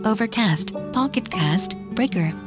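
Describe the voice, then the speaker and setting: A woman delivers a scripted podcast intro, reading a list in an even, steady cadence. Her pitch is middling. Clear music plays behind her throughout. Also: a little slow. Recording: intro read over music.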